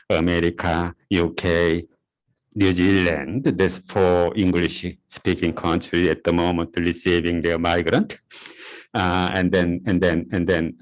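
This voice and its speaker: A middle-aged man with a Korean accent gives a conference lecture in a very low, deep voice. English is not his first language, and he often stops to repeat words and restart his sentences. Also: low-quality recording. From South Korea.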